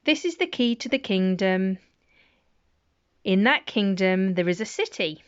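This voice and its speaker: Slow, smooth-voiced mum reading. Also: female voice, mid-range voice, expressive, no echo, highs cut off. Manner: gentle, chatty asides between rhymes. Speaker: parent reading bedtime rhymes. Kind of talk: reading nursery rhymes aloud.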